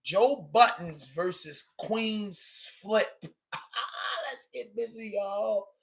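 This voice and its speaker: A man's voice: high voice, extremely slow, fairly bright tone, breathy edge. A man with a Baltimore accent talking casually on a live stream, rambling a little and often asking "you know what I mean" as he urges viewers to drop a comment. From Baltimore, Maryland, US. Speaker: live-stream vlogger and news reactor. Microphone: camera on a tripod.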